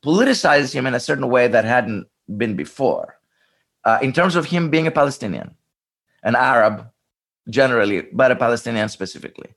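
A male scholar speaks in a documentary interview, thinking aloud as he explains. His pitch rises and falls in a lively way, and he often restarts a phrase with small fillers. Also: vocal fry. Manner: thoughtful, halting, many restarts and fillers.

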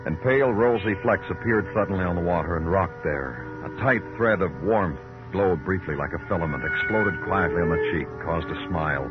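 An actor playing a weary, brooding American police detective in a dramatic old radio crime drama, speaking in clipped, poetic phrases. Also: male voice, low voice, a little fast, lightly acted. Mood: weary, descriptive. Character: weary, brooding male police detective narrating his case. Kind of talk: 1940s-50s radio crime drama acting. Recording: dull old radio recording, loud music.

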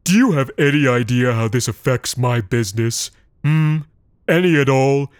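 Guy voicing a giant angry businessman, booming n sarcastic, lively swoops. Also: no room sound, studio quality, lightly acted. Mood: indignant. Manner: slow, theatrical, swings loud then soft. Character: huge menacing male businessman giant, sarcastic. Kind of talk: storytelling narration.

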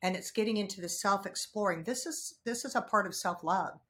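A woman's voice: mid-range voice, fairly quick. A middle-aged woman, a podcast guest, chatting casually and animatedly, acting out her own thoughts. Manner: animated, acts out her own inner dialogue. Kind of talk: casual chat.

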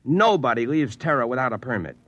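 An actor playing a gruff, world-wise freight office boss, blunt, slangy and lecturing, in an old-time radio drama. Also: male voice, medium pitch, lively intonation, brisk, a bit bright, no room sound, highs cut off. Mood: scolding.

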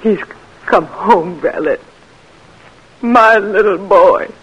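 A woman's voice: mid-range voice, takes it slowly, a little hiss or hum, natural voice, poor audio. An actress playing a grieving older Southern mother who is crying, tearful and pleading, in a 1940s radio drama.

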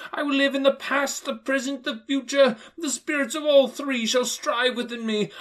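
A man reading an audiobook, voicing an old male miser in a high, breathless voice, exclaiming and half laughing, half crying. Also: fairly bright tone. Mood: pleading.

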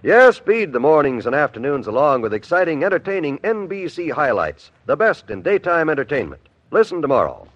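Upbeat male network announcer, 1950s radio style, lively intonation, dry and muffled sound. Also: fairly high pitch, a little fast, hardly any pauses. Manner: polished, upbeat salesmanship. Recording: muffled old radio recording.

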